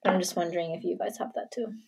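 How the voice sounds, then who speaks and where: A woman in casual podcast chat, talking in halting bursts with frequent restarts. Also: on the low side, fairly even intonation, creaky, noisy background.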